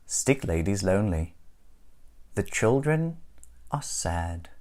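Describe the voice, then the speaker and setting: A woman narrating a rhyming children's storybook expressively, with deliberate, dramatic phrasing. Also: a bass voice, sing-song, a little mellow. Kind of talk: expressive rhyming read-aloud for young children.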